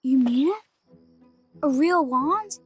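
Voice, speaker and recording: A woman's voice: high voice, expressive, slow, faint music behind. A woman voicing an innocent, polite young boy hero with an American accent in a fantasy game. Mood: amazed. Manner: naive, questioning, earnest. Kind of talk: animated fantasy game voice acting.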